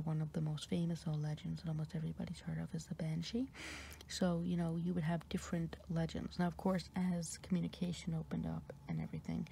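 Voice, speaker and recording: A woman from New York with an American accent, softly whispering an ASMR ramble with many fillers and pauses. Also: creaky, a little hiss or hum. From New York, USA. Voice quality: deep voice for a woman. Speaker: ASMR creator.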